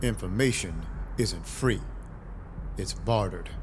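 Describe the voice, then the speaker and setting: A man playing a world-weary vampire detective in a noir audio drama, wry, guarded and deliberate. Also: low-pitched, slight background noise. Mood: guarded, businesslike.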